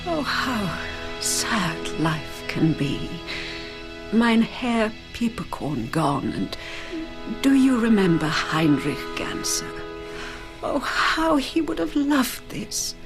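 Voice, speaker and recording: A wistful, sentimental woman in a radio drama. Her highish voice moves slowly and mournfully, with music behind. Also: sing-song, heavily acted. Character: wistful, sentimental, melodramatic female sanatorium patient.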